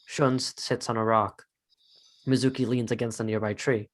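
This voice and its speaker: A man narrates a scripted drama in a mid-pitched voice. He reads out scene headings and stage directions as the narrator. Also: flat delivery, normal speed.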